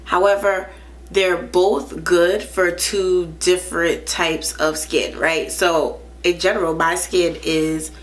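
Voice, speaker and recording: A woman's voice: fairly low pitch, level tone, takes it slowly. A woman in her thirties reviewing beauty products to camera, a little stuffed up and nasal, chatty and often asking "right?". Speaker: beauty vlogger. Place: small indoor room, plain wall.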